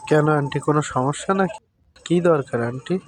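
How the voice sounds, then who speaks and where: A man's voice: fairly high pitch, brisk, soft background music, lightly acted. A man acting the part of a polite, nervous young boyfriend in an amateur skit, speaking deferentially. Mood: polite, curious. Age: young adult. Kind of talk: amateur staged phone-call skit. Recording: very noisy audio, clicks, insect chirping.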